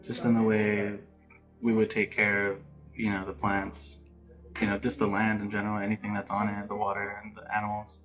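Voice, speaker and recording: A man's voice: on the low side, monotone, normal speed, fairly bright tone, faint music behind, poor audio. A man in his thirties speaking hesitantly with many fillers in a documentary interview. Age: 30s.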